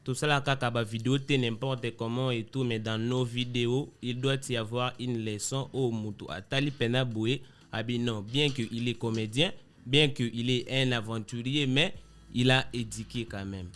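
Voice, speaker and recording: A man with a Congolese French accent, a comedian answering interview questions in a relaxed, loose way, mixing in Lingala phrases. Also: medium pitch, normal speed. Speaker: comedian guest.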